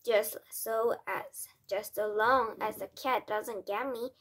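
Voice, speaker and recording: A woman's voice: a little high, fairly even intonation, slow pace, a bit bright, full character voice. A woman voicing a cheerful, carefree little boy who has been turned into a mouse, eager and gleeful, in a storybook read aloud. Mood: cheeky, carefree.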